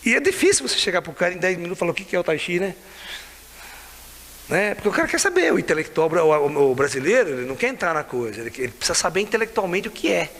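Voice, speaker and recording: A man's voice: high-pitched, racing. A man in his sixties with a Brazilian Portuguese accent, a tai chi teacher telling stories in a casual way, laughing often and checking in with his listeners. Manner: lively, laughs often, keeps asking "né?" and "sabe?". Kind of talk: casual storytelling to camera. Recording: reverberant room with faint hiss.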